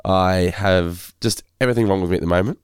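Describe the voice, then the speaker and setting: A man co-hosting a casual podcast, his voice congested and hoarse from a head cold, talking in a weary, self-deprecating way. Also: deep voice, quick pace, dry recording, clean studio sound. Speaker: podcast co-host.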